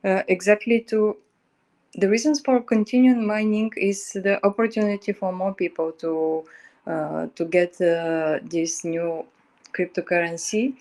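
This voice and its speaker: A woman's voice: medium pitch, steady tone, measured pace, darkish tone. A woman explaining her opinion in a community discussion, hesitantly, often repeating her phrases.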